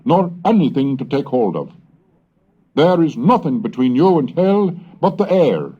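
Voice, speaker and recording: A man's voice: on the high side, dark tone, voice pushed up. A man reading aloud as a fiery colonial preacher, solemn and emphatic, on a dull old cassette recording. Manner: solemn, rising, emphatic delivery.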